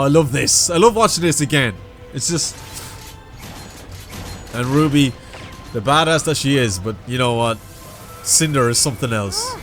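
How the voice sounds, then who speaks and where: A man's voice: mid-range voice, fairly bright tone. A man with a slight cold giving casual, enthusiastic reaction commentary as a video host. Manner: enthusiastic, informal, admiring. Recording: music from watched video behind. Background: music.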